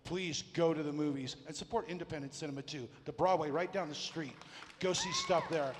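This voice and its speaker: A man's voice: fairly high pitch, brisk, background noise. A man from California moderating a convention panel, enthusiastic. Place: large convention hall. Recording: long echo of a big hall.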